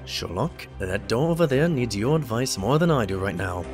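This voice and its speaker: An actor playing an earnest, easily flustered pony doctor, an expressive sidekick in an audio drama. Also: male voice, mid-range voice, moderate pace, music in the background, close to an everyday voice. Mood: reassuring. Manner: expressive, stammering when embarrassed.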